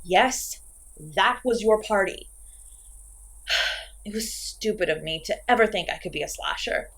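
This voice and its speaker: A woman's voice: mid-range voice, unhurried, fairly bright tone. A woman playing an insecure, petulant, chatty slasher killer in a comedic horror audio roleplay, theatrical and exasperated, swinging between sulky and manic. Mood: dejected, baffled.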